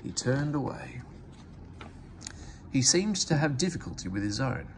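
A man reads a children's story aloud with a very animated range of pitch. His delivery is expressive and scripted. There is faint noise behind him. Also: unhurried, creaky. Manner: expressive, acts out character voices. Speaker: read-aloud narrator.

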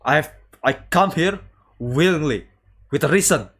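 A man streaming a visual novel, reading dialogue aloud haltingly and adding casual commentary. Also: fairly high pitch, expressive, fairly bright tone, breathy edge. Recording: music playing behind the voice. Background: guitar game music.

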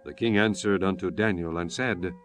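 A man narrating scripture from a script in a measured, formal manner. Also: low voice, soft background music.

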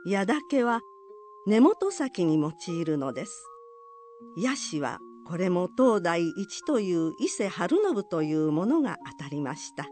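A woman narrating an old folk legend in a formal, polite and expressive storytelling manner. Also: on the low side, slow pace, soft background music.

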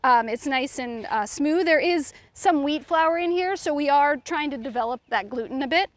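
A woman's voice: high voice, moderate pace, dry recording. A middle-aged woman giving an informal cooking demonstration, instructing as she works. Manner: informal, instructive, talking while working. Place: outdoors on a lawn beside a food truck.

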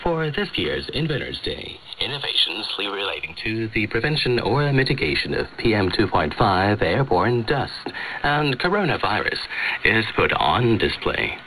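Male newsreader, forceful bright tone, animated intonation, scripted bulletin, slightly noisy shortwave radio. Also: fairly low pitch, moderate pace. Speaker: radio news presenter. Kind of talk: scripted news reading.

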